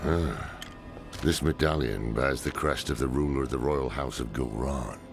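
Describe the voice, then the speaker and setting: An actor playing a gruff, cynical old demon hunter with a blunt, weary manner in a video game. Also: male voice, moderate pace. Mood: grim, dismissive. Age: older. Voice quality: deep, gravelly. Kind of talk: dramatic video game acting. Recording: dramatic music under the voice.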